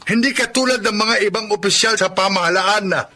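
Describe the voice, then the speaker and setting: Blustering high-pitched Filipino village captain. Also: male voice, fairly quick, bright, forceful tone. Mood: defensive. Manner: blustering, domineering. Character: corrupt, hot-tempered middle-aged village captain, a domineering father. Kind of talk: Filipino radio drama acting.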